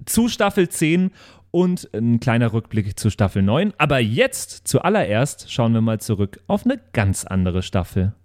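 A man's voice: medium pitch, sing-song, measured pace, darkish tone. A man chatting casually as host and player on a tabletop role-playing podcast, bantering and introducing.

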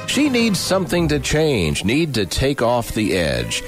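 A man, a radio news director, giving a deliberate, deadpan spoken recital of pop lyrics like poetry. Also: medium pitch, music in the background. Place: radio studio.

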